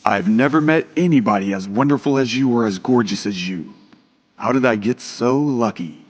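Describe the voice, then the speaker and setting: An actor playing a devoted, wealthy suitor in a romance audio drama, speaking tenderly and earnestly. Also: male voice, mid-range voice, moderate pace, highs cut off, close to an everyday voice. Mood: amorous.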